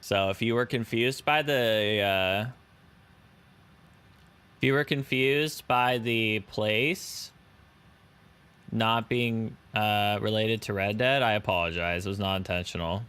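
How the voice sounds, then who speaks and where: Slow, smooth low-voiced male streamer. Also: fairly bright tone. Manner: chatty, answers viewers' chat and thanks subscribers. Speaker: live streamer. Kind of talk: casual live-stream chat while flying a simulator.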